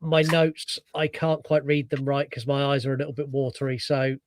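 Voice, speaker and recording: A man's voice: medium pitch, fairly even intonation, moderate pace, no room sound. A man hosting a film podcast while he has a cold, sounding nasal as he chats and plugs the show. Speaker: podcast host. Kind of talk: casual chat and show plugs.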